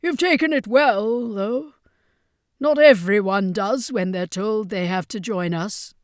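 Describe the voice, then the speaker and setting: A woman's voice: mid-range voice, slow pace, no echo, clean studio sound, lightly acted. A woman voicing a weary, sheepish circus master in a narrated fantasy story, apologetic and matter-of-fact.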